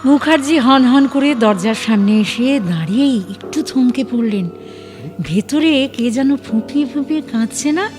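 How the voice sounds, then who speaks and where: A woman's voice: high-pitched, expressive, moderate pace, a little mellow. A woman reading dramatic scripted narration for an audio story. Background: faint music.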